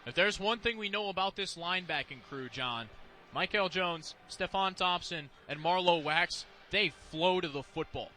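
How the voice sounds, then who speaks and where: High, sharp-voiced American male football analyst. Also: moderate pace. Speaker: color commentator.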